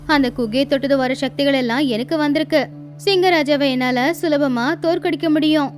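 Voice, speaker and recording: A woman's voice: high-pitched, moderate pace, faint music behind, close to an everyday voice. A woman voicing a cunning, bold female goat who taunts in a cartoon fable. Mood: defiant.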